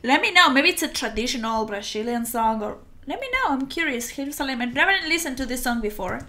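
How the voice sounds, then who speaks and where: A young woman from Spain with a Spanish accent, chatting casually to camera as a reaction host in an animated, halting, giggly way. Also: high-pitched. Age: young adult. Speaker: host of a music reaction channel. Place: small room at home, indoors.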